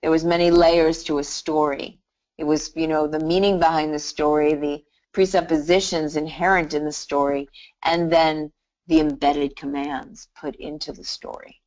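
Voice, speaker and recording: A woman's voice: sits low, level tone, normal speed, a little mellow, dull audio. A middle-aged woman teaching hypnotherapy on a live online call, telling stories in a chatty way with many restarts and "you know". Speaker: hypnotherapy instructor.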